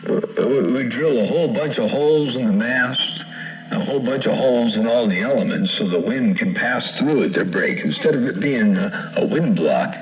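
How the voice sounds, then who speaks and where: A man's voice: medium pitch, animated, moderate pace, muffled recording, soft background music. A middle-aged American man chatting in CB radio slang and call signs, with a jokey, folksy manner, over a radio transmission. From US West Coast. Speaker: CB radio operator. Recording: band-limited CB radio sound.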